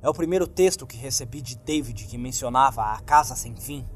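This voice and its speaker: A man's voice: mid-range voice, brisk, a little hiss or hum. A man with a Brazilian accent reads a scripted horror story in a dramatic, suspenseful manner. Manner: suspenseful, acts out the lines.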